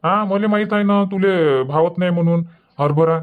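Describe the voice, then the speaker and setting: A man's voice: sits high, moderate pace, natural voice. A man voicing a grumbling, lecturing old village husband in a cartoon comedy, with a Varhadi Marathi accent. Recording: dull lo-fi audio.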